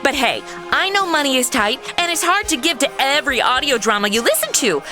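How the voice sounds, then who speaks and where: A woman's voice: high-pitched, animated, brisk, music in the background. A woman hosting a podcast, perky and informal, narrating and addressing listeners. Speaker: podcast host.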